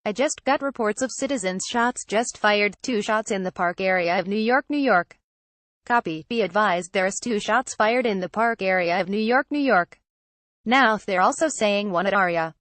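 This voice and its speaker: A woman narrates scripted news at a medium pitch. She reads it out literally, with a synthetic delivery. The sound is very dry and close, free of room echo. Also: moderate pace, a bit bright. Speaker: news reader.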